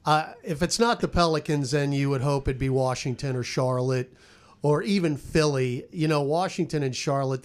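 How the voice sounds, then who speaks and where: A man speaking as a sports radio commentator in opinionated roundtable talk, stressing his points emphatically with repeated words. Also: medium pitch.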